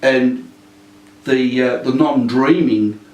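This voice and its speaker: An older man, a retired police drill instructor, being interviewed in a casual, rambling way with many fillers and restarts and a naturally loud voice. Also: medium pitch, slow pace. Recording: echoey room, mic at a distance.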